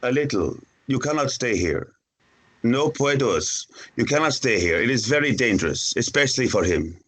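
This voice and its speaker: Man playing a terse, husky, wary farmer. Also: medium pitch, lively intonation, fairly bright tone. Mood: guarded. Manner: terse, halting, plain-spoken. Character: wary, taciturn Spanish-speaking male farmer, guarded and blunt. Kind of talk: read-through of a screen drama.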